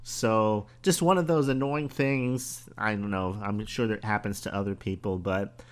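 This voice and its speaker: Brief: male podcast host, hoarse, mid-pitched, casual thinking-aloud delivery. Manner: conversational, musing aloud with pauses and small laughs. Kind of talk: solo podcast monologue.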